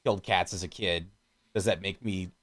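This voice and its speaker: A man in casual, rambling conversation on a film podcast, speaking in an animated, unpolished storytelling way. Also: deep voice, normal speed. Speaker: podcast panelist discussing films. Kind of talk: casual chat, profane.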